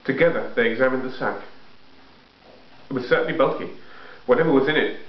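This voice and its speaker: An echoey, slightly muffled home recording of a man reading a children's storybook aloud. His pitch is average for a man, and he narrates the tale as a reader would. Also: brisk.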